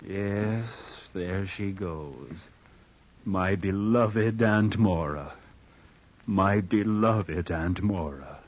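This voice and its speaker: A man's voice: deep voice, extremely slow, full character voice. An actor playing a scheming, bitter nephew who broods as he narrates in an old-time radio drama. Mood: bitter, resigned. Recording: dull vintage radio recording.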